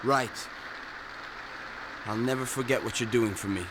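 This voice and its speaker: An actor playing an earnest, uneasy young grown son in a radio drama, pleading in manner. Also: male voice, medium pitch, fairly even intonation, measured pace, noisy background, natural voice. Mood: earnest, grateful.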